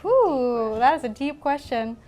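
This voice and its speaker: A woman's voice: high-pitched, sing-song, a little slow, a little mellow. A woman playing a tabletop role-playing game, chatting casually in an informal, sweary way. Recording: music playing behind the voice. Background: background music.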